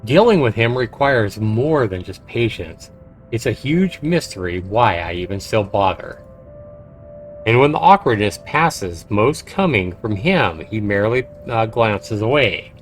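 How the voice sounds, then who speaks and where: A man livestreaming a game, commenting and reading visual novel text aloud in an expressive, dramatic way. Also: on the low side, unhurried, soft background music. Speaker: game streamer.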